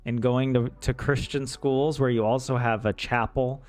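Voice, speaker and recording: A man's voice: mid-range voice, steady tone, normal speed, darkish tone, soft background music. A man from the American South chatting casually on a livestream in a rambling, self-deprecating, joking way. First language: English. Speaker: streamer learning Finnish.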